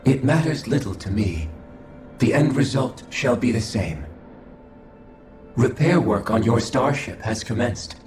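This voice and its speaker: An actor playing a cold, aloof alien observer in a video game, speaking formally and impassively with an echoing effect. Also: male voice, mid-range voice, lively intonation, measured pace, long pauses. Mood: detached, impassive. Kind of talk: video game cutscene acting. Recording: echoing voice under loud music. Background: game music.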